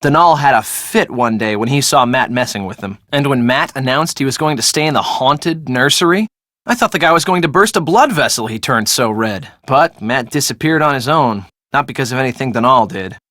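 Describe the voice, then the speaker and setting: A woman's voice: rumbling low, animated, a little fast, a bit bright, dry recording, studio quality. An actress playing a breezy, sarcastic young woman in a video game, talking in a casual, slangy way. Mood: gossipy, exasperated. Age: young adult. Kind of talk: video game dialogue acting.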